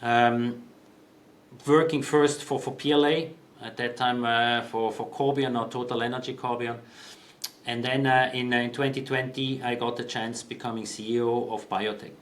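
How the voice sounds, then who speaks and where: Man, 50s, from Switzerland; guest CEO, measured and hesitant, many restarts. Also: medium pitch, fairly even intonation. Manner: thoughtful, hesitant, with frequent ums and restarts. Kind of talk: conversational interview answers.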